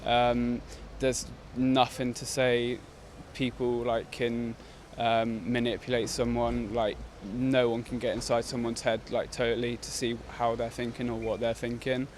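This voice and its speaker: A man speaks in a flat, even tone in a casual street interview. He sounds informal and tentative, feeling out his answer over faint urban noise. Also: mid-range voice, unhurried. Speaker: member of the public interviewed. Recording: outdoor street noise behind.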